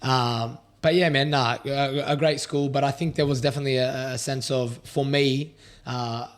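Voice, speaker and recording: A man co-hosting a podcast, talking in a halting, hesitant way with many fillers. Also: mid-range voice, steady tone. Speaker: podcast co-host. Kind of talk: casual chat.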